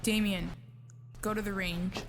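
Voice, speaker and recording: An actress playing a guarded, self-reliant veteran agent in an audio drama. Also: female voice, mid-range voice, fairly even intonation, measured pace, lightly acted. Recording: hall reverb, faint hum.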